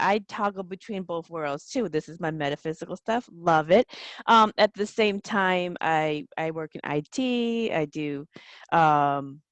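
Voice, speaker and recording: A woman hosts a podcast interview in a conversational way. Her voice sits at a medium pitch and she wanders a little, rephrasing as she goes. The sound is dry and close, with no audible room. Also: dry recording. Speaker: podcast host.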